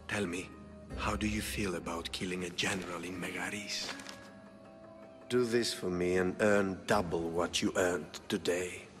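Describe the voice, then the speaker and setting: A man playing a smooth-talking, calculating rich patron in a video game cutscene, measured and coolly condescending. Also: on the low side. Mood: sly, approving. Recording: long reverb, background music.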